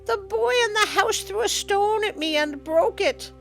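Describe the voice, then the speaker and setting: Casting: small female bird in distress for a storybook woman performing, high, shrill, fluttery, music behind. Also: unhurried, vocal fry, heavily acted. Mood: feigned distress. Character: frantic, sensible little mother bird.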